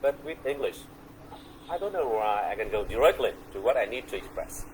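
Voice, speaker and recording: A man's voice: medium pitch, animated, slight background noise, low-quality recording. A middle-aged man with a Vietnamese accent, a guest poet answering on a video call, hesitant and full of fillers and restarts. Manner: slow, hesitant, many fillers and repeats. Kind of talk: relaxed conversational interview.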